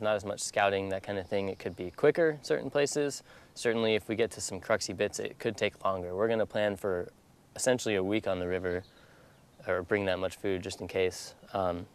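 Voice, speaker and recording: A man's voice: fairly low pitch, smooth. An American man in his twenties from North Carolina, explaining plans casually in an interview. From North Carolina, USA. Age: 20s. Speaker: expedition paddler being interviewed.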